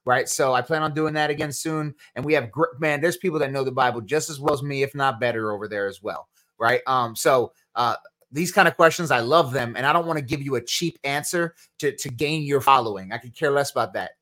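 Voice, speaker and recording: Man, new jersey accent, podcast host, casual live q&a, energetic, mid-pitched voice. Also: brisk. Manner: casual, energetic, answers chat questions with restarts.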